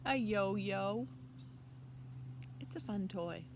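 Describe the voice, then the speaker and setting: A woman in her fifties leads a storytime for young children at a slow pace. She uses short, simple phrases and puts encouraging questions to her little listeners. Her voice sits at a middling pitch, recorded outdoors in a backyard with noticeable ambient noise. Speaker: children's library storytime host. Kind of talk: interactive preschool storytime talk.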